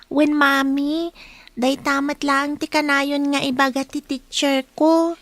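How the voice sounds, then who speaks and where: Ilocano radio drama: woman as small child, very high voice, sweet and obedient. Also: flat delivery, slow pace, fairly bright tone, dry recording. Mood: dutiful. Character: sweet, dutiful small child talking to mother.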